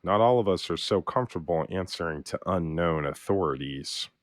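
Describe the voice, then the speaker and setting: Deep-voiced wary man, played by an actor. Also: lively intonation, normal speed, no room sound, clean studio sound. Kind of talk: audio drama acting.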